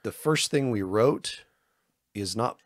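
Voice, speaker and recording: A man's voice: on the low side, animated, takes it slowly, no room sound, studio quality. A man co-hosting a podcast, talking in a loose, rambling way and joking at his own expense. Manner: loose and rambling, with self-deprecating jokes. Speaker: podcast co-host. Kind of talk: casual podcast chat.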